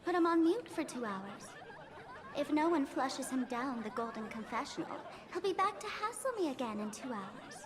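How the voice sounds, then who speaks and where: A woman's voice: sits high, lively intonation, normal speed, crowd noise, background noise. A woman voicing a playful, self-assured young girl who runs a jesters' tavern in a video game. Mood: teasing. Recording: loud game music behind.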